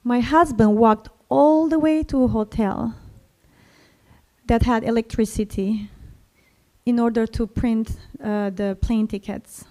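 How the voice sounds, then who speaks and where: A woman from Syria, telling a personal story to an audience in a slow, reflective way. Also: fairly high pitch, fairly even intonation, dark tone. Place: library event stage.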